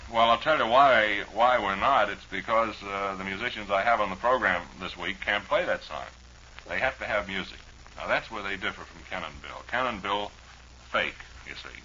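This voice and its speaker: Male 1940s radio host, low and forceful voice, rambling mock-serious mail-reading chat, muffled vintage broadcast sound. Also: a little hiss or hum. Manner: rambling, dryly humorous, mock-serious asides.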